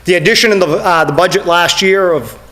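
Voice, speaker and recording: A middle-aged man with a slightly higher voice gives a budget presentation to a town committee. He explains things steadily and plainly, filling pauses with "uh". His voice is wearing thin and going hoarse. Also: slight background noise. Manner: steady explanatory, many "uh" fillers. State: losing his voice. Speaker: fire chief presenting budget. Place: town meeting room.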